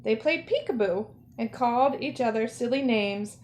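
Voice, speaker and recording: A woman storyteller reading a picture book aloud for children, with careful, expressive phrasing. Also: on the high side, normal speed, slight background noise. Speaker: storyteller, zoo educator.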